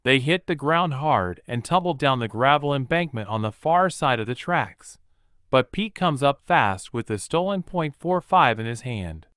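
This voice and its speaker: A man's voice: medium pitch, expressive, normal speed, fairly bright tone, clean tone, no room sound. A man narrating a horror novel in a vivid, present-tense storytelling style, recorded close to a studio mic. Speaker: audiobook narrator.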